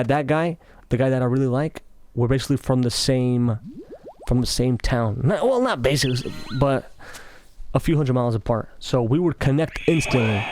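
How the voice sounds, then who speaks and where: Young man, faint Russian accent, meandering chatty commentary, mid pitch, faint background hiss. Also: a little mellow. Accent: slight Russian. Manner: talkative, meandering, self-interrupting. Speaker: gaming commentary creator. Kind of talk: rambling casual commentary over gameplay. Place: office.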